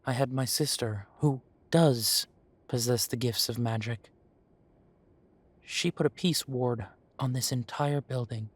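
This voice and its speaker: An actor playing a kindly café owner in a fantasy town, talking to the listener in an unhurried, courteous, slightly formal way in a roleplay audio. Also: male voice, medium pitch, lightly acted. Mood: warm, reassuring.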